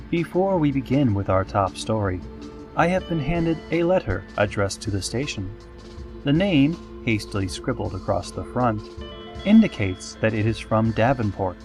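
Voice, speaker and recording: A man playing a solemn, eerie pony radio host, reading scripted parody news in a measured, formal deadpan. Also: fairly low pitch.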